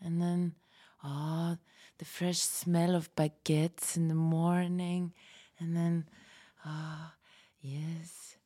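A woman's voice: on the low side, level tone, extremely slow, warm tone. A woman playing a dreamy, homesick, feisty woman in a fiction podcast, rambling wistfully with sighs. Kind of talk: fiction podcast panel interview acting.

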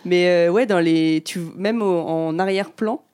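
Mellow-voiced chatty woman. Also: on the low side, normal speed, smooth, dry recording. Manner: chatty and questioning, thinking aloud. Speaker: podcast co-host. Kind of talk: casual film discussion.

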